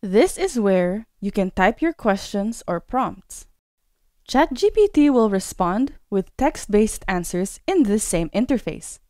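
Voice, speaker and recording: A woman narrating a scripted screen-recorded tutorial as a course instructor, explaining step by step in a clear way. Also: mid-range voice, sing-song, slow, mellow, no echo, clean studio sound.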